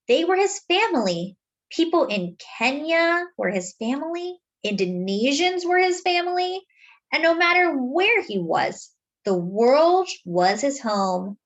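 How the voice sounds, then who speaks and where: A woman reading a children's picture book aloud, with dramatic, deliberate phrasing and drawn-out words. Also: high-pitched, sing-song, dull audio. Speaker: children's story reader.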